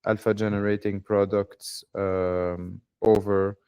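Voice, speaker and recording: A low-voiced man speaks in a flat, level tone with a smooth, clear sound. He is a fund manager explaining his firm on a call, hesitant and measured, with many fillers. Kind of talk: business pitch on a call.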